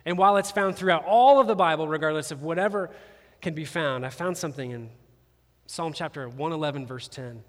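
A man preaching a sermon as campus pastor, animated and conversational, with playful jokes aimed at the congregation. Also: fairly high pitch, a little fast. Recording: long reverb of a large hall.

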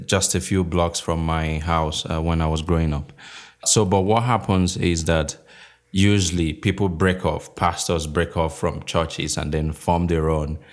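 Very deep-voiced man from Nigeria. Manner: measured, with many fillers and restarts. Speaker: novelist guest. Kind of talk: thoughtful interview answers.

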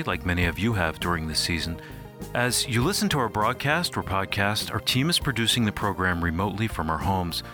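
A man's voice: lowish voice, bright, forceful tone. A man announcing a radio program in a scripted style, recorded at home. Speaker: radio show announcer and co-host. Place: remote home recording, dry.

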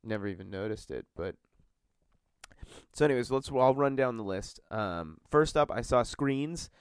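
A man's voice: medium pitch, animated, moderate pace, smooth, no room sound, studio quality. A man hosting a podcast, congested from a cold, chatting casually in a rambling, filler-heavy way. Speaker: podcast host.

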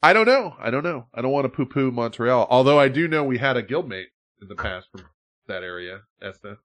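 A man's voice: medium pitch, lively intonation, brisk, fairly bright tone. A man hosting a podcast in casual chat, rambling, swearing freely and laughing often. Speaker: podcast host.

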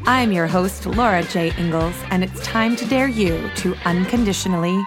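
A middle-aged woman hosting a solo podcast, her voice hoarse, talking earnestly and with enthusiasm as a running coach. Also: lowish voice, normal speed, music in the background.

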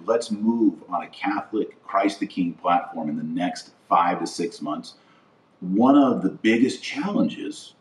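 An American man hosting a podcast, delivering an earnest political and religious monologue with emphatic repetition and building lists. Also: on the low side. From United States. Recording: roomy echo, distant from the mic.

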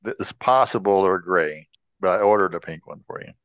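A man's voice: deep voice, normal speed, fairly bright tone, dry recording. A man co-hosting a podcast in casual chat, jokey and often restarting his phrases. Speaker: podcast co-host. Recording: dull, lo-fi audio.

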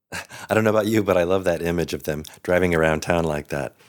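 A man's voice: sits low, a little fast. A man speaking as host and interviewer in a conversational presenting style. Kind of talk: conversational program hosting.

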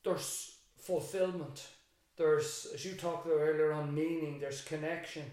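A man's voice: on the high side, steady tone, a little slow, darkish tone. An Irish man, a secondary school teacher and interview guest, thinking aloud in a reflective, rambling way with frequent restarts. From Ireland. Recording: very echoey room.

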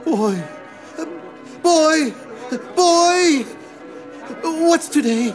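Elderly reformed miser, radio drama, very slow drawn-out exclamations, animated, stammering, joyful. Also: male voice, very high voice, soft background music, exaggerated voice. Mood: giddy elation. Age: older. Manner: exclamatory, breathless, stammering with excitement. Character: joyful reformed old miser, giddy and repentant.

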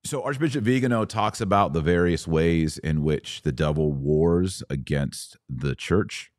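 A man with a deep, low voice hosts a religious teaching podcast. The recording is close and dry. He explains scripture emphatically, stretching important words and asking rhetorical questions to lead the listener along. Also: unhurried, no echo. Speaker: podcast host.

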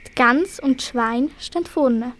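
A woman's voice: high-pitched, unhurried, fairly bright tone, a little hiss or hum. A woman with a Swiss German accent narrating a scripted children's story for an amateur radio play.

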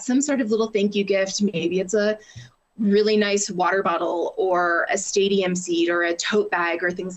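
Articulate woman explaining in an interview. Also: mid-range voice. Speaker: public relations director, interview guest. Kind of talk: explanatory interview talk with practical advice.

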